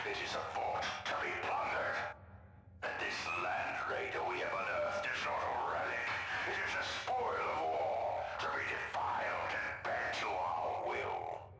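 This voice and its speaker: Abyssally deep Chaos warlord. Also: male voice, exaggerated voice, low-quality recording. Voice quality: very deep, gravelly. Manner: grandiose, slow, domineering. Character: towering, menacing Chaos warlord, cold and imperious. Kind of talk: video game cutscene acting. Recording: loud music under the voice.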